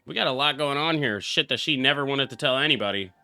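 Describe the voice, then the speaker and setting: A man's voice: mid-range voice, quick pace, sharp tone. A young man, a game streamer, giving casual live reaction commentary in a brash, exclamatory, heavily profane way. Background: game music.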